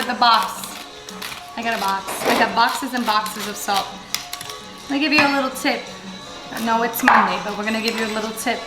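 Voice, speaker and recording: Chatty woman cooking in an echoey kitchen. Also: high-pitched. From Dominican Republic. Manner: chatty, playful, talks to viewers and kids while cooking. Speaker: cooking channel host. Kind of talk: casual cooking vlog chat. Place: home kitchen. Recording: echoey kitchen with music playing.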